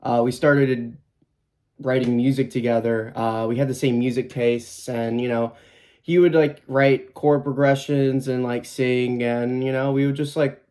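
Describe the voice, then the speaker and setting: A man's voice: medium pitch, a little mellow. A man in his twenties, a band guitarist, answering interview questions in a casual, hesitant way with many fillers and restarts. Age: 20s. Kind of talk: documentary interview.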